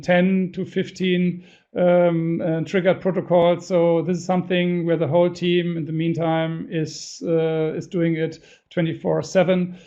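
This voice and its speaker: High-pitched man with halting non-native English. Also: dark tone. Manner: halting, hesitant, frequent self-corrections. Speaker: senior radiologist presenting a webinar. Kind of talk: answering audience questions in a medical webinar. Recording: dull lo-fi webinar audio.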